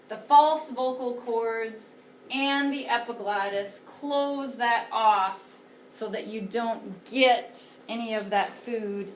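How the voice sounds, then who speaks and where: A slow, careful woman teacher lectures with a fairly high voice. She sits a little back from the mic, so the room echoes around her. Faint hum of the room underneath. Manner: explains slowly, pointing to slides. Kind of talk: recorded classroom lecture. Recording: roomy, a bit far from the mic.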